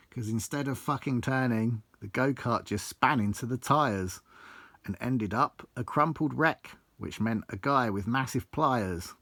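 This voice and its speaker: A man's voice: medium pitch, fairly bright tone, no echo. A man reciting his own rhyming life story in a theatrical, blunt, sweary storytelling manner. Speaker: author reading own book. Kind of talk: recited rhyming autobiographical verse.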